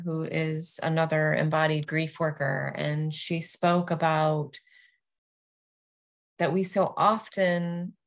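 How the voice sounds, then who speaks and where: A woman guides a meditation at an extremely slow pace. Her voice sits slightly low and has a clear creak to it. She phrases things gently and deliberately, leaving long pauses between short phrases. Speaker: yoga nidra teacher.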